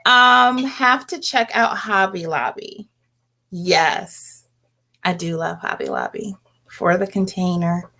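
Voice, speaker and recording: A woman's voice: mid-range voice, takes it slowly, gravelly, pushed and bright. A middle-aged woman chatting casually and informally as host of a livestream, replying to viewers as she goes. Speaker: host of a home herbalism livestream. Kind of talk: casual livestream chat, reading and answering comments.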